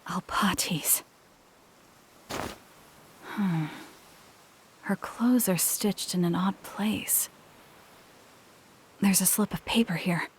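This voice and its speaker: A woman's voice: mid-range voice, extremely slow, pauses often, in a whisper. An actress playing a guarded, wry young woman thief in a video game. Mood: hushed, pensive.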